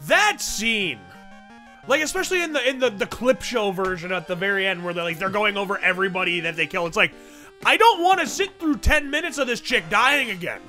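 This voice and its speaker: A man's voice: sits high, lively intonation, fairly quick, pushed and bright. A man bantering casually and boisterously as co-host of a gaming let's play. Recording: music in the background.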